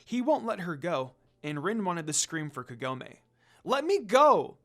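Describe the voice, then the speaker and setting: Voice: medium pitch, expressive, moderate pace. A narrator reading a scripted fan story dramatically, in the manner of an audiobook. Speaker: fan fiction reader.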